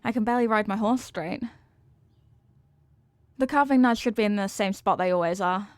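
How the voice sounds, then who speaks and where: A woman's voice: mid-range voice, normal speed, no room sound, natural voice. A woman playing a sweet, clumsy, centuries-old headless horsewoman girlfriend in an affectionate, sheepish, rambling way for an intimate ASMR roleplay. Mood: gentle, explaining. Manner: soft-hearted, sheepish, affectionate, rambling explanations.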